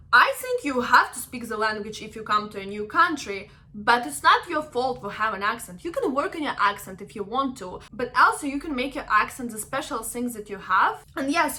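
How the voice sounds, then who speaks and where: A young woman from Ukraine talks straight to the camera with a Russian accent. Her voice is bright and fairly high, and her tone is earnest and encouraging as she keeps checking in with her viewers. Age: young adult. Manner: earnest, encouraging, often asks "right?". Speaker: vlogger. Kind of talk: casual talk to camera.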